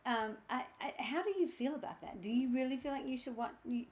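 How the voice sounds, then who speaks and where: A woman's voice: high-pitched, brisk, darkish tone. A middle-aged woman with a Southern American accent, chatting casually as a podcast co-host. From Southern United States. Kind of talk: casual chat.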